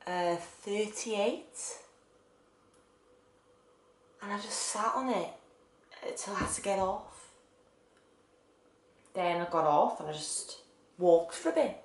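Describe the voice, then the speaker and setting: In an echoey room, an actress plays a lonely, wistful woman confiding in someone absent. Her stage monologue moves slowly and deliberately, in short phrases with pauses, at a middling pitch. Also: close to an everyday voice. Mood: reflective.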